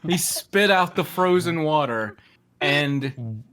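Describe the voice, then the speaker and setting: A man's voice: a little high, lively intonation, takes it slowly, bright, forceful tone. A man reading a story aloud as narrator in a joking group reading, with an amused manner. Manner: amused, often breaking into laughter. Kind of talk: scripted narration with joking asides.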